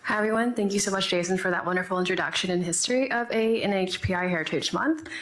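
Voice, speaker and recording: A woman's voice: medium pitch, quick pace, noisy background. A woman in her twenties moderating a panel discussion in a friendly, organized way. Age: 20s.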